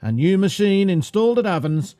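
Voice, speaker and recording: A man's voice: high voice, sing-song, fairly quick. A man with an Australian accent reading scripted narration in the style of an old cinema newsreel. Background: music.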